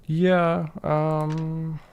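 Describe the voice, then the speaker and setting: A man casually talking through a strategy game as he plays, thinking aloud and answering viewer questions in a relaxed way. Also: fairly high pitch, extremely slow, muffled recording. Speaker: streamer playing a strategy game. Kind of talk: casual gameplay commentary reading chat.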